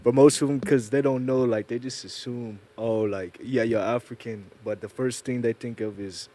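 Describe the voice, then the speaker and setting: A man's voice: medium pitch, fairly even intonation, normal speed, mellow. Hesitant man from Ghana. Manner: hesitant, full of fillers. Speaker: panel guest. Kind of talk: casual group conversation.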